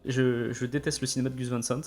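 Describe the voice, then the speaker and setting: A man in casual film discussion, speaking in a halting way with repeated words. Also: medium pitch, flat delivery, a little mellow. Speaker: podcast co-host.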